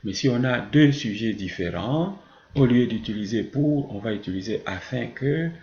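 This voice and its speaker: A male teacher gives a grammar lesson in a mid-pitched, gravelly voice. He explains didactically, sets out examples and answers his own questions. The sound is roomy and echoing. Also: expressive, normal speed, darkish tone, lo-fi sound. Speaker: French teacher.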